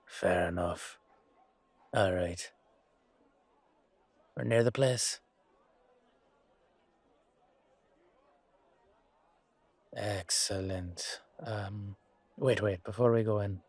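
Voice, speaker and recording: A weary, humble knight, played by a man in a fantasy audio drama. He speaks in a low, unhurried voice with wry, casual hesitation. The recording is close and dry. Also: steady tone, a little mellow, no room sound. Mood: wry, hopeful, then hesitant, reluctant. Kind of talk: amateur fantasy audio roleplay acting.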